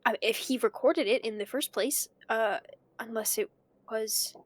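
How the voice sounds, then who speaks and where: A woman's voice: fairly high pitch, unhurried, no room sound. A woman playing an anxious, bewildered amateur investigator of old tapes, thinking aloud with hesitant stammers in a naturalistic audio drama. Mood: puzzled, nervous.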